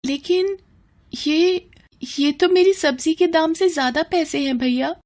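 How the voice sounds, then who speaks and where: A woman's voice: extremely high pitch, measured pace, a little mellow, voice pushed up. A woman voicing an earnest, poor little vegetable-seller girl in a story, childlike, polite and pleading. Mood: surprised. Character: earnest young poor girl selling vegetables, cheerful but tearful.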